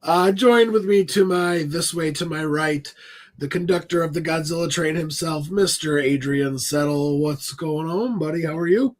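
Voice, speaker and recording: A man's voice: on the high side, moderate pace. A man hosting a casual live podcast, joking with his co-hosts while losing his voice from a cold. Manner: jokey, upbeat hosting. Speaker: podcast host.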